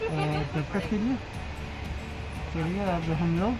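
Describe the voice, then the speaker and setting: A man's voice: a little high, slow pace, over loud music, rough recording. A young man, a travel vlogger, chatting casually to his phone camera while lying on a berth inside a train compartment. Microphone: phone camera at arm's length.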